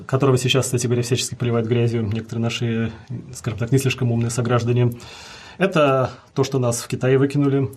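A man's voice: medium pitch, a little mellow. A man answering as a history guest, explaining at length in a lecturing way with many fillers.

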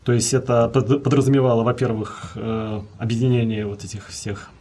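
A man's voice: mid-range voice, level tone, normal speed, dark tone. A man answering as a history guest, explaining at length in a lecturing way with many fillers.

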